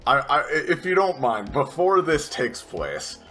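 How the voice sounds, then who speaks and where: A man playing a tabletop game, describing his character's actions slowly and haltingly, with restarts. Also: a little high, big pitch swings, pushed and bright, soft background music. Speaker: player.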